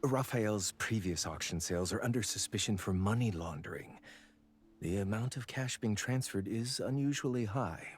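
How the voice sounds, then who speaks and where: An actor voicing a worried, practical gallery manager in a video game, speaking in an earnest, admonishing way. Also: male voice, fairly low pitch, normal speed, natural voice. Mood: grave.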